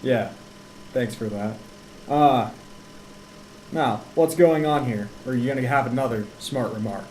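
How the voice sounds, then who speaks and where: A man's voice: mid-range voice, measured pace, pauses often, darkish tone, slight background noise, lightly acted. A man playing an eager, self-pitying small-town police detective who talks to himself in an amateur noir radio drama. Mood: irritated. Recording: roomy echo, music behind.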